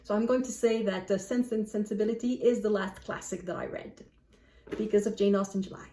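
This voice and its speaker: A woman book vlogger chatting casually to camera in an animated, self-deprecating way. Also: medium pitch, brisk, slight background noise. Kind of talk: casual chat to camera, answering book prompts. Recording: echoey room, distant microphone.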